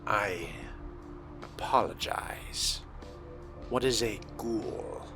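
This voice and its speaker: A man voicing an aloof, curt fledgling vampire in a measured, dry manner for a video game role-play. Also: on the low side, over music.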